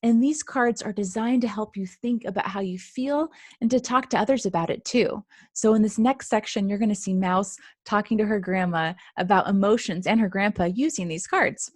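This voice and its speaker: A woman reads and explains a children's book to young listeners. Her voice sits at an average pitch, and she lays out each point in simple, clear terms. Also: normal speed, hardly any pauses, no echo, clean studio sound. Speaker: presenter, author reading own book. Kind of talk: children's read-aloud with explanations.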